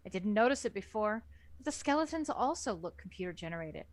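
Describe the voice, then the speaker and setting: A woman's voice: medium pitch, sing-song, fast, dry recording. Dry-sounding woman narrating a story. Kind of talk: scripted first-person story narration.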